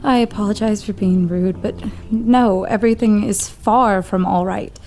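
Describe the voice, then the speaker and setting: An actress playing a fed-up, sharp-tongued female assistant who speaks curtly, with music behind. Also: mid-range voice, fairly even intonation, moderate pace, close to an everyday voice. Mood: exasperated. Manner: curt, exasperated. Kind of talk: audio drama acting.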